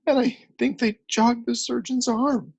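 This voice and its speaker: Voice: mid-range voice, lively intonation, unhurried, gravelly, slightly rough, no echo, pitched above the performer's own voice, heavily acted. A narrator voicing a frantic, weeping old male journalist who whimpers and raves.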